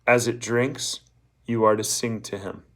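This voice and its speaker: A man's voice: medium pitch, level tone, a little slow, fairly bright tone. A man voicing a blunt old woman fortune-teller in a storybook, bossy and emphatic as she hands out scorn and instructions. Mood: instructing. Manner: emphatic, instructing, asks rhetorical questions.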